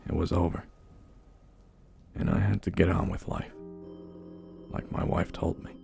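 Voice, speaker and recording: A man's voice: low voice, level tone, vocal fry. A man narrating a first-person horror story in a somber, dramatic manner. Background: slow dark music.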